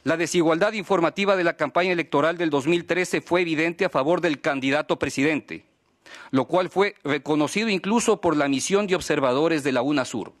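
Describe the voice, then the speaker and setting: Male, voice a little higher than average. Advocate delivering a written statement formally. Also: a little fast. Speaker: press freedom advocate speaking at a human rights hearing. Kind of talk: formal prepared statement, read aloud.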